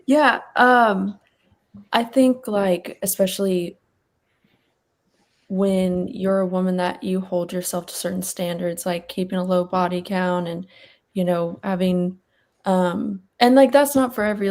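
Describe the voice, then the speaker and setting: A woman's voice: fairly low pitch, steady tone, unhurried. A woman in her twenties from Texas, a guest on a panel, talking casually and filling her sentences with "like" and "you know.". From Texas, United States. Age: 20s. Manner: chatty, lots of "like" and "you know".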